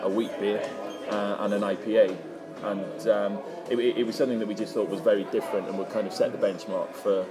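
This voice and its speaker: A man being interviewed, answering informally and haltingly. His voice is on the low side, and music plays clearly behind him. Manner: hesitant, halting. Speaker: interview guest, brewery founder.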